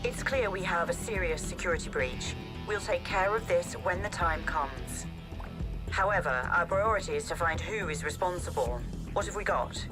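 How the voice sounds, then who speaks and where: A woman's voice: lowish voice, moderate pace, lightly acted, low-quality recording. A woman voicing an authoritative, businesslike police captain in a video game cutscene. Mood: brisk, commanding. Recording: game music behind.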